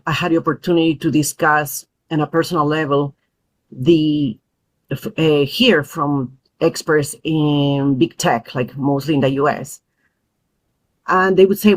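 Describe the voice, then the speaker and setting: A woman who grew up in Peru, a mother and parent advocate, speaking as a podcast guest in an earnest, thoughtful way with frequent pauses and fillers. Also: sits low, low-quality recording. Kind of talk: podcast interview.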